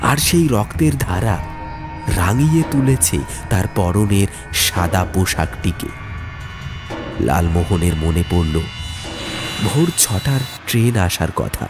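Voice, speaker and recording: Dramatic, swooping male horror storyteller. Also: deep voice. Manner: suspenseful, deliberate phrasing with dramatic pauses. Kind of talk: dramatic scripted narration of a ghost story.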